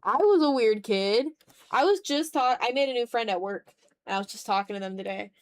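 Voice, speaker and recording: A young adult woman chatting casually on an art livestream, animated and slangy, with a self-deprecating way of talking. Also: a little high, brisk. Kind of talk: casual livestream chat while drawing.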